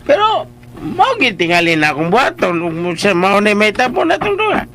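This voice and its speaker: Drunk comic driver for radio drama: creaky, wildly lively, slurred, excitable and rambling. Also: male voice, high-pitched, a little fast, background noise, exaggerated voice. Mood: rambling, joking. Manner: slurred, repetitive, excitable tipsy chatter. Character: drunk, jolly, rambling male driver and sidekick.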